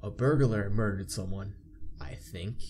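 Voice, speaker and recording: A man's voice: on the low side, unhurried, mellow, a little hiss or hum. A man hosting a visual novel playthrough, reading the on-screen story aloud and commenting casually. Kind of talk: casual game narration, reading text aloud. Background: game background music.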